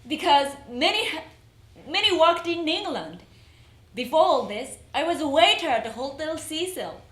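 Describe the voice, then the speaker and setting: A woman's voice: high voice, sing-song, normal speed, a bit bright. A woman performing in readers theater, delivering a dramatic recitation and acted dialogue. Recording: roomy echo.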